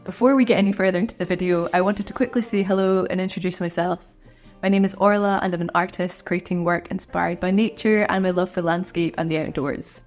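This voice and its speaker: A woman's voice: on the low side, fairly even intonation, a little fast, faint music behind. Encouraging woman artist, slightly stuffed up. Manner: warm, encouraging, chatty. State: a bit stuffed up from a cold. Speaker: artist and video creator. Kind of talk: casual talk to camera.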